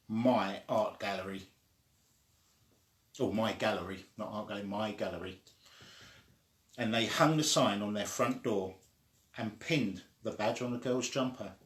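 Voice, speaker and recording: A man reading a children's story aloud in a deliberate, simply phrased way. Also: fairly low pitch, fairly bright tone. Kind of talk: slow read-aloud storytelling. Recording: echoey room.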